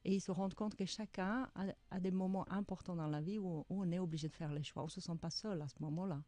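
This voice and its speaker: A middle-aged woman with a Czech accent and a warm, mellow voice, speaking French as a second language. She answers slowly and thoughtfully as an author on the radio, pausing often to search for the right word. The sound is dry and close, with no room behind her. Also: lowish voice, no room sound. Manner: reflective, searching for words. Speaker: author guest. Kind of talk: radio interview.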